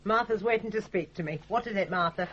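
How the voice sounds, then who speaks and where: A woman's voice: mid-range voice, fast talker, fairly bright tone, lightly acted. An actress playing a stern, scornful middle-aged mother, curt and sardonic, in a radio drama. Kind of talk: 1980s radio drama acting. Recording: dull, noisy lo-fi radio recording.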